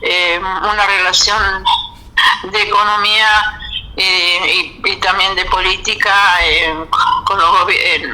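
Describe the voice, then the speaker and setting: A woman talks on a radio show as a guest, thinking aloud with frequent pauses and restarts. Her tone is very bright and clear, and her pitch sits in a middling range. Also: level tone, rough recording. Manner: measured, hesitant with many fillers and restarts. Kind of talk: radio interview, reflective. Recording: radio studio.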